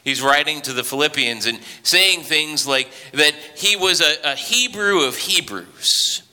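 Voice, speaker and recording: A man's voice: on the high side, lively intonation, measured pace, bright, forceful tone, smooth. A man preaching a sermon in a conversational, storytelling way, often restarting his sentences. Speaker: pastor. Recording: reverberant hall.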